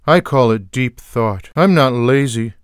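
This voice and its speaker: A man voicing a lazy, sarcastic, food-loving cartoon cat in a video game, with wry, deadpan, drawling one-liners. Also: mid-range voice, unhurried, clean tone, dry recording, studio quality, lightly acted. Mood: smug, lazy.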